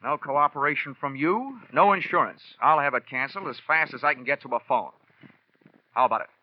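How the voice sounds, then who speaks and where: An actor playing a wisecracking, tough, cocky insurance investigator with an American accent and wry, clipped delivery in an old radio detective drama. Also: male voice, medium pitch, animated, fairly quick, lightly acted. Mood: tough, needling. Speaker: lead actor and narrator. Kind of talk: 1950s radio detective drama, hard-boiled narration. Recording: dull, band-limited old radio recording.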